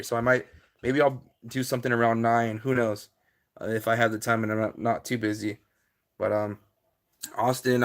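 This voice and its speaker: A man's voice: medium pitch, a bit bright. A man, hosting a casual live stream and answering his viewers' chat in a laid-back way, with lots of fillers.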